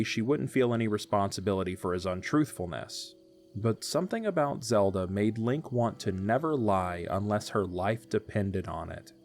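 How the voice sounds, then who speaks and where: A man narrating an audio drama in a calm, measured storytelling manner. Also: fairly low pitch, a little hiss or hum. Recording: soft music behind.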